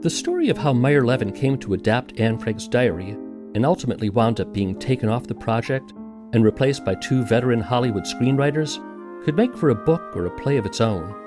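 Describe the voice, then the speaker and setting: A man's voice: mid-range voice, expressive, fairly quick. A man hosting a podcast, reading scripted documentary narration. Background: music.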